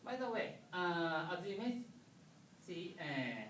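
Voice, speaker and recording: A Japanese man with a Japanese accent walks an audience through a coding demo, his English halting and full of pauses and restarts. He speaks slowly, at an unhurried pace. His voice comes from a distance in an echoey lecture room with faint background noise. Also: sits high, sing-song, fairly bright tone. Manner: halting, many filler pauses and restarts, simple phrasing. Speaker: conference presenter. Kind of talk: technical presentation.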